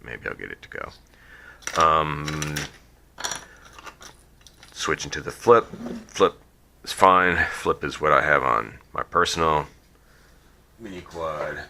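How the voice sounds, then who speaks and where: A man with a cold, sniffly and croaky, casually explaining a drone build at his workbench, rambling with many ums and restarts. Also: extremely low pitch, takes it slowly, long pauses, noisy background. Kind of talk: casual how-to build video.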